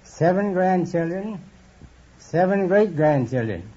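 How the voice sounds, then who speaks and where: A man's voice: high-pitched, lively intonation, takes it slowly, husky. An American man from Illinois, almost ninety, giving brief, dry answers as a quiz show contestant. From Illinois, United States. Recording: muffled old radio recording, loud audience and noise.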